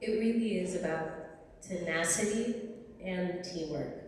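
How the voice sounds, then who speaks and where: A woman's voice: fairly low pitch, a little slow, darkish tone, distant mic. A woman singer from the Dominican Republic, chatting casually in an onstage interview, loose and full of fillers. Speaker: singer and gigging musician. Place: live music venue. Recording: echoey hall heard from afar.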